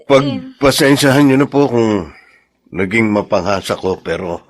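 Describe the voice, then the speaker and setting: A man playing a polite, earnest young soldier suitor in a family drama, formal, respectful and halting. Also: fairly low pitch, animated. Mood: respectful, nervous. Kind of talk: Filipino family drama acting.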